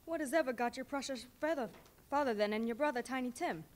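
A woman playing a bustling, sharp-tongued mother. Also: high-pitched, slight background noise, close to an everyday voice. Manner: brisk, fussing, indignant. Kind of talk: live stage reading.

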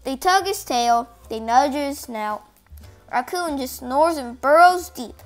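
High-pitched child reading a storybook. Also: animated, takes it slowly, soft background music. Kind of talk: expressive storybook read-aloud.

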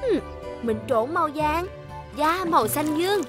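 A woman's voice: sits high, animated, measured pace. A woman voicing a cheerful young vehicle character in a dubbed children's cartoon, bubbly and childlike. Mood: cheerful, reassuring. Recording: music behind the voice.

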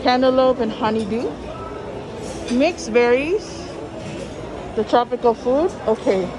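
A woman's voice: fairly high pitch, slow pace, noisy background. A woman giving casual vlog commentary, chatty and enthusiastic, often repeating herself, filmed on a handheld phone. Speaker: vlogger. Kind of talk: casual vlog commentary while touring a buffet. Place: large busy buffet restaurant, indoors. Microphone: handheld phone camera. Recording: busy indoor restaurant crowd and music. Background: diners' chatter and background music.

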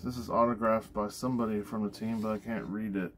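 A man's voice: fairly low pitch, flat delivery, brisk, fairly bright tone. A man narrating casually as he digs through boxes inside a cargo trailer, thinking aloud, recorded on a body-mounted camera. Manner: offhand, thinks aloud with fillers. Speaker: storage-unit reseller. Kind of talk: casual narration while unpacking. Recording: noisy camera audio.

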